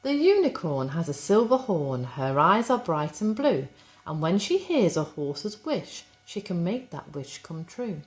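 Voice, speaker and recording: A woman's voice: on the low side, sing-song, unhurried. A woman reading a children's rhyming picture book aloud as a school storyteller, expressively and with a rhythmic cadence.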